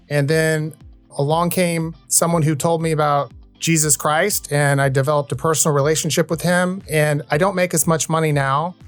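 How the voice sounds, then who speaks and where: A man in his fifties hosting a podcast, talking earnestly and at length in a conversational interview style. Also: fairly high pitch. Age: 50s. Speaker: podcast host.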